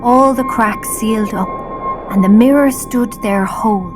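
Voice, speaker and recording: A woman's voice: a little high, huge pitch swings, measured pace, over music. A woman narrating a children's story as a scripted audio drama.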